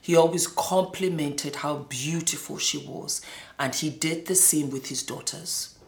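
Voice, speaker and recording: Echoey, lower-pitched middle-aged woman, emphatic storyteller. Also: measured pace. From Nigeria and Scotland. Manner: emphatic, repeats words for stress. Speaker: former model telling her life story. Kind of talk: personal testimony to an interviewer. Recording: roomy, echoing indoor sound.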